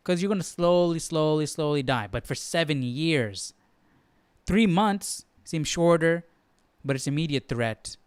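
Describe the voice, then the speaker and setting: A man's voice: fairly high pitch, expressive, a little slow, clean tone, dry recording. A man hosting a live Bible study, commenting casually and thinking aloud, often checking himself with a questioning tag. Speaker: host.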